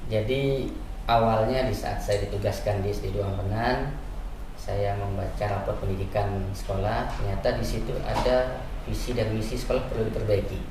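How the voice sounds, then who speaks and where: A lowish-voiced man explains things step by step in a conversational interview. He sounds set back from the microphone in a very reverberant room, with clear noise around him. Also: steady tone, normal speed. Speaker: school principal, interview guest. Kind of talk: explanatory radio interview answers. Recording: very echoey, distant mic, noisy room.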